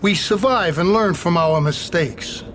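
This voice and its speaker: A proud Sicilian don. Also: male voice, sits high, noisy background. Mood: formal. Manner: slow, formal, dignified. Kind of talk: game cutscene voice acting.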